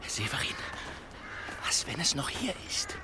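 A man's voice: unhurried, over music, natural voice. An actor playing an anxious demon hunter in a German audio drama, urgent and hesitant, pleading with his companion. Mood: fearful. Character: frightened, pleading, injured male demon hunter.

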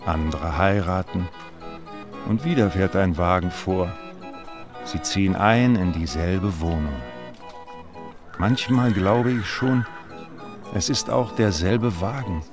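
An actor playing a brooding, fatalistic observer in a radio play monologue, contemplative and given to repeating himself. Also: male voice, sits low, music in the background. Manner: slow, repetitive, contemplative. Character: brooding, fatalistic man watching the house's comings and goings.

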